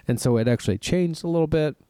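A man's voice: a little high, quick pace, mellow, clean tone, dry recording, clean studio sound. A man co-hosting a podcast in casual chat, rambling and laughing often as he trails off mid-thought. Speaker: podcast co-host.

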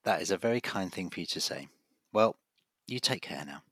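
A man playing a professional, earnest family doctor in an audio drama, speaking in a measured way. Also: sits low, expressive, heavy background noise. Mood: reassuring, concerned. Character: reassuring, persistent male family doctor with a secret.

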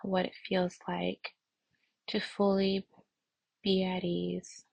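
Female meditation guide: lowish, soft and breathy, soothing, unhurried, prayerful delivery with noticeable vocal fry. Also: completely flat delivery, no room sound, lo-fi sound. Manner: soothing, unhurried, with long pauses. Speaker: meditation instructor.

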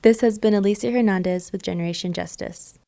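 A woman's voice: mid-range voice, fast, creaky, mellow. A woman radio host thanking and questioning her guest politely. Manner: polite, appreciative. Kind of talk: radio interview.

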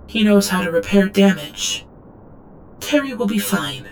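A woman's voice: mid-range voice, very animated, a little slow, a little mellow, slight background noise. An actress playing an obedient female android drone in an audio drama, reciting logs in a clipped, literal, detached way. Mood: quietly wistful. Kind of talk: audio drama log narration.

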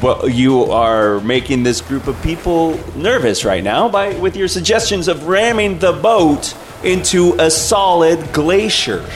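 A man's voice: a little high, lively intonation, a little slow, few pauses. Guy acting out a flustered tour guide in live improv radio comedy, keeps insisting, music under him. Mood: uneasy. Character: exasperated adult male glacier boat tour guide.

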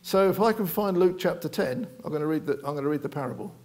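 A man's voice: on the high side, quick pace, a little mellow. A man preaching an informal sermon in a chatty, joking way, rambling with many restarts.

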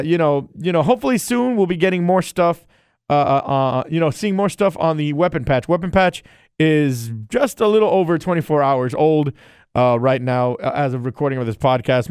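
A man on a casual solo podcast who talks in a loose, rambling, friendly way. His voice sits a little high and sounds smooth and resonant. The recording is close and dry, with no room sound. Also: a little mellow, dry recording. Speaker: podcast host and streamer.

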